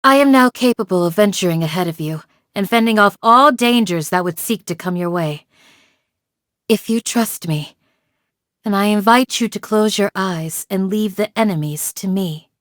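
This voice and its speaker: A woman playing a calm, devoted village guardian in a video game, speaking in a measured, formal, gently solemn way. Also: mid-range voice, dry recording, clean studio sound, close to an everyday voice. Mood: calm, protective. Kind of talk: scripted game character voice lines.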